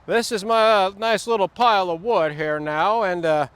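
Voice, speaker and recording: A man's voice: sits high, moderate pace, a bit bright, clear, resonant tone, dry recording. A man with a Southern US accent and a sore throat, rambling through a casual outdoor vlog with many uhs and restarts. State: sore throat, voice not back. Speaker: vlogger. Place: outdoors, windy wood lot.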